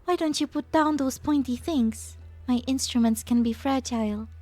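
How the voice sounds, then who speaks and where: A woman voicing a serene, unafraid scientist with a soft voice in a game fan dub. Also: sits high, moderate pace, no echo, faint music behind. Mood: serene, gently warning.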